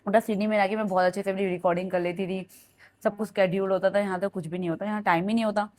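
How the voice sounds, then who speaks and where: A woman in her thirties from India, with a Punjabi lilt, talks casually as she vlogs her day at home. Her voice is hoarse and a little worn, and she drops English phrases in mid-sentence. The sound is a relaxed home kitchen recording. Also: mid-range voice, racing. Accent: Punjabi-tinged Hindi. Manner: chatty, code-switches into English. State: hoarse throat. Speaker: vlogger. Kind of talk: casual vlog narration.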